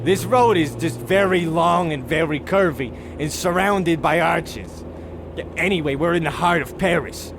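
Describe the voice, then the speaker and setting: High-pitched pompous husband, acted by a man. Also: a little slow, noisy background. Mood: blustering, defensive. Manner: pompous, theatrical, blustering. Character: pompous, oblivious, bickering American husband on holiday. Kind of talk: comedic radio play acting.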